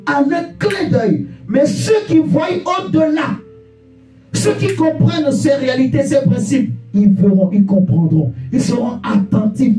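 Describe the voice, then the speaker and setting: High-pitched male preacher, emphatic and repetitive. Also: expressive, unhurried, dark tone. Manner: emphatic, repeats phrases for effect; exhorting, puts rhetorical questions to listeners. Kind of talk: sermon. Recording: echoey room, distant mic, faint music.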